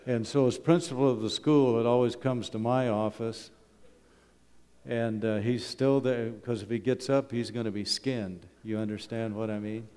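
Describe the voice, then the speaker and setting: A man's voice: mid-range voice, steady tone, moderate pace, darkish tone, smooth. Folksy man in an echoey hall. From Canada. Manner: humorous, conversational, with rhetorical questions. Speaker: wilderness school principal giving a presentation at church. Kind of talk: folksy public talk with slides. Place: church hall, talking to a congregation. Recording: echoey hall with long reverb.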